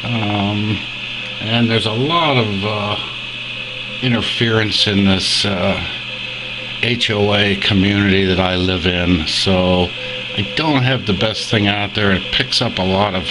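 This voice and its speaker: Man, lowish pitch, casual hobbyist demo, hesitant halting delivery, noticeable radio static behind. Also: fairly even intonation. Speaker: radio hobbyist demonstrating his homemade receiver. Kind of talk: casual unscripted demo talk. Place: indoors at a desk. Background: shortwave receiver sounds.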